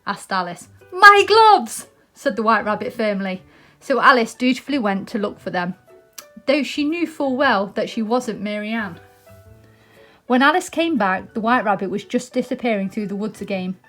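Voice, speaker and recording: A woman's voice: medium pitch, animated, moderate pace, a bit bright, faint music behind. A British woman presenting and reading a children's story aloud.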